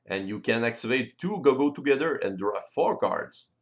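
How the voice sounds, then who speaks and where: Male game-rules presenter, native French speaker with French accent, explanatory delivery, slightly halting phrasing, mid-range voice. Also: medium pitch, lively intonation. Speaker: board game designer and presenter. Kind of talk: explanatory tutorial voice-over.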